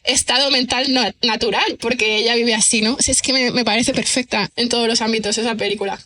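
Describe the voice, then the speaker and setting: A woman's voice: a little high, fairly even intonation, quick pace, pushed and bright. A woman answers casually as a podcast guest, chatty and self-deprecating, thinking aloud and often checking in with the listener. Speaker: podcast guest, writer and screenwriter. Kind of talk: casual conversational interview answers.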